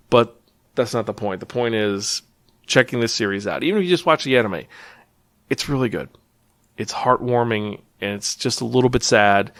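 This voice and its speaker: A man's voice: mid-range voice, no room sound, clean studio sound. A man hosting a review podcast in a casual, enthusiastic and emphatic way, speaking directly to listeners. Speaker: podcast host and manga reviewer.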